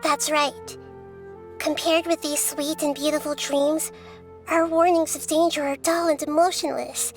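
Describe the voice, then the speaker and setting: Very high-voiced little wise goddess. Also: female voice, flat delivery. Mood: grave, composed. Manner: measured, composed, articulate. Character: small, wise, gentle child-like goddess. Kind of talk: video game cutscene acting. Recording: music playing behind the voice.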